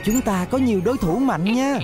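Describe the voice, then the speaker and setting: Cartoon boy teammate female actor: highish voice, earnest, straightforward, childlike. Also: brisk, loud music behind the voice, natural voice. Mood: curious.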